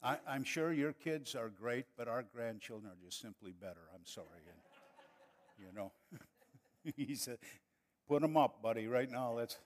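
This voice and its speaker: A man's voice: mid-range voice, animated, measured pace. An older man from northern Wisconsin with an Upper Midwest accent, preaching a folksy, chatty sermon that builds to fervent passages. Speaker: pastor.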